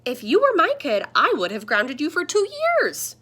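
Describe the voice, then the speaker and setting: A woman voicing a friendly, earnest talking computer screen that gently scolds, in a children's picture book. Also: high voice, huge pitch swings, normal speed, slightly rough, full character voice. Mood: gently scolding. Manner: earnest, explanatory.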